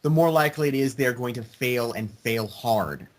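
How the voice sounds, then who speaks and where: A man in casual group chat with a drawn-out, mock-pedantic way of talking. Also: mid-range voice.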